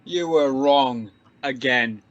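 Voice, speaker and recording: An actor playing a cynical, pedantic, humourless science officer who speaks formally and haltingly in a sci-fi radio play. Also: male voice, mid-range voice, fairly bright tone. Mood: smug, dry. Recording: dull muffled lo-fi recording.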